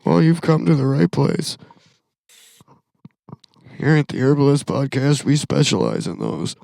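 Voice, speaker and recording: A man's voice: medium pitch, big pitch swings, a little slow, gravelly, mellow, dry recording. A man in his thirties co-hosting a podcast, chatting casually in a rambling, self-deprecating way and laughing at his own jokes. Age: 30s. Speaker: podcast co-host. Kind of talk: casual chat.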